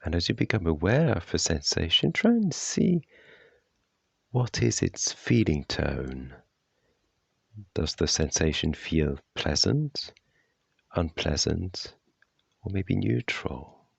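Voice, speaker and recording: Male meditation guide: extremely low, gravelly voice, measured delivery, long pauses between soft instructions. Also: big pitch swings, no room sound. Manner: gentle, unhurried, measured phrasing.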